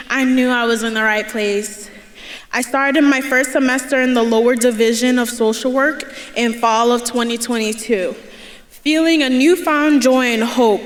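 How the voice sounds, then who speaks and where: Young woman, high voice, slow earnest speech, commencement podium, roomy hall echo. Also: fairly bright tone. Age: young adult. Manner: earnest, laughs between lines, raises voice to cheer the crowd. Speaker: student commencement speaker. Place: large indoor ceremony hall. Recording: echoey hall with crowd ambience.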